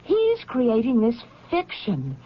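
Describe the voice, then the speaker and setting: Anxious, defensive middle-aged career woman, swooping intonation, husky edge, vintage radio drama on a dull recording. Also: on the high side, wildly swooping pitch, exaggerated voice. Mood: insistent, suspicious. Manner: halting, agitated, defensive. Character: anxious, insistent woman executive convinced of a plot.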